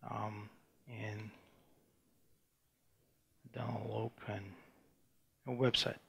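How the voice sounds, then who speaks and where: A man from Bulgaria, giving a technical conference talk with a live demo and talking in a halting way, with many restarts and fillers. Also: on the low side, flat delivery, vocal fry, a bit bright, husky. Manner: halting, frequent restarts and fillers while demoing. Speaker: conference speaker. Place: conference room before an audience.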